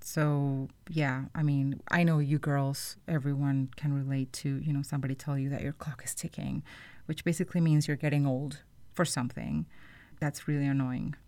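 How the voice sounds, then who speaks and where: A woman's voice: extremely low pitch, little pitch movement, moderate pace, mellow, dry recording. A woman hosting a podcast, explaining things to listeners in an informal, conversational way. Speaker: podcast host.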